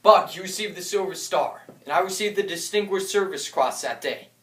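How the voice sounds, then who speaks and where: A man playing a declamatory American paratrooper officer, high-voiced, on a distant stage in a war play in an echoing hall. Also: normal speed, lightly acted. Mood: solemn, reflective. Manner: declamatory, bellows battle orders. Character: dutiful, battle-tested American paratrooper officer recalling combat. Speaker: actor in a war drama. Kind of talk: theatrical monologue and dialogue. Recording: echoey room from far off, noisy.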